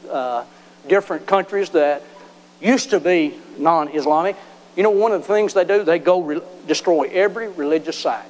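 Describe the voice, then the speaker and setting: Male pastor, high-pitched, emphatic sermon delivery, church sanctuary with long reverb. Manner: emphatic preaching, voice climbing sharply on key words. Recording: long reverb of a large hall.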